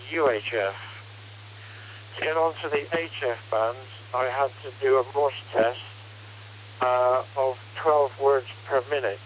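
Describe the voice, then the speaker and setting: An older British man talks casually as an amateur radio operator. His voice comes through a dull, band-limited radio transmission with faint noise and hum behind it. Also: mid-range voice. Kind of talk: casual radio contact chat. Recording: muffled, noisy, humming ham radio transmission. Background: radio static and hum.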